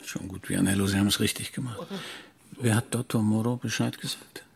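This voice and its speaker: An actor playing a persistent, politely ironic police commissioner in a naturalistic radio crime drama. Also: male voice, lowish voice, fairly even intonation, fairly quick, vocal fry, a loud crowd. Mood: subdued, considerate. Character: male police commissioner, persistent, dryly sardonic.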